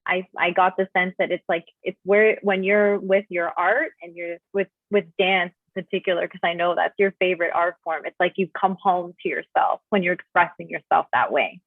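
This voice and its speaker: A woman's voice: lowish voice, steady tone, normal speed, hardly any pauses, no echo, phone-quality sound. A woman hosting an interview series, enthusiastic and effusive, on video call audio. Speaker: interview host.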